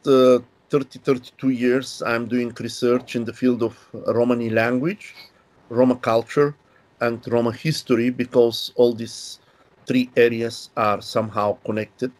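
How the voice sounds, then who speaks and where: Older man, professor, measured pace, mid pitch, video call from a study. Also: darkish tone. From Bulgaria. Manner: measured, unhurried, with pauses and fillers. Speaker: guest, linguistics professor. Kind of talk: explanatory interview answers. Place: home study with bookshelves.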